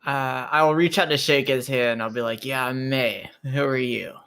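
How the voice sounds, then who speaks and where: A man's voice: medium pitch, moderate pace, vocal fry, a bit bright, clear, resonant tone. A man playing a tabletop roleplaying game, chatting casually and improvising in character with a laid-back, questioning manner.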